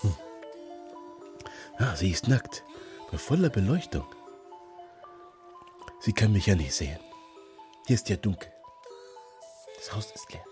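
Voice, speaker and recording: A man's voice: fairly low pitch, big pitch swings, unhurried, pauses often, over music. A man acting in a German radio drama as an anxious young Ghanaian man living in hiding, breathless and muttering to himself. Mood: idle, murmuring.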